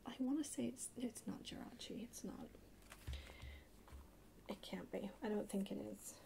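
A woman chatting softly in an ASMR haul video, hushed and often slipping into a whisper. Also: mid-range voice, moderate pace, vocal fry. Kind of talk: soft-spoken ASMR haul chat. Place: indoors at a table. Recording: noisy close home recording.

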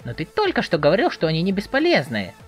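An eager, curious teenage boy acted by a woman in a webcomic dub. The voice sits fairly low and leaps around wildly in pitch. He talks in an excitable, inquisitive, naive way, with music underneath. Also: fast talker. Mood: wary. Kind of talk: dramatic reading of a webcomic chat.

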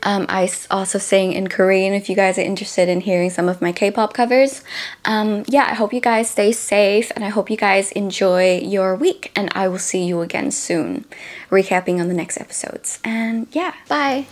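A woman with a raspy, hoarse voice giving an opinionated, casual recap as a YouTuber, with lots of "like" and "um" fillers. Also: medium pitch, normal speed. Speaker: YouTuber recapping a dating show.

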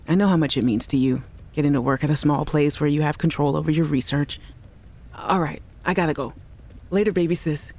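A cheerful chatty big sister. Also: female voice, low-pitched, fairly quick, darkish tone. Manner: cheerful, chatty, giggly, affectionate. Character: upbeat young woman messaging her little sister. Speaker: game character in audio logs. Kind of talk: voiced game audio log.

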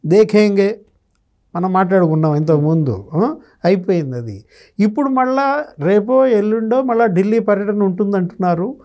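A man's voice: high-pitched, expressive, normal speed, warm tone. A man, giving conversational political commentary as a guest analyst, quoting others and posing rhetorical questions. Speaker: senior journalist, guest analyst. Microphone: lapel mic.

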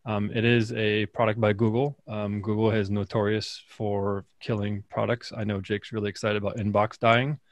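A man's voice: lowish voice, flat delivery, moderate pace, hardly any pauses, dry recording. A man on a video call speaking into a desk microphone on an arm, giving his views in a direct, opinionated way. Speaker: panelist. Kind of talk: casual video call discussion. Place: home room. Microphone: desk mic on arm.

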